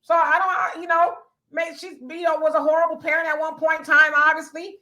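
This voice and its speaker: Blunt, emphatic high-voiced woman. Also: level tone, a little fast, bright, forceful tone. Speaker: podcast host. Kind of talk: casual opinion talk.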